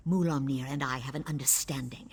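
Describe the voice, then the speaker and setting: A woman's voice: a bass voice, fairly even intonation, brisk, breathy edge, full character voice. An actress playing a haughty, menacing usurper queen in a fantasy video game, speaking with imperious threat. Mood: coldly gloating. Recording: long echoing hall reverb.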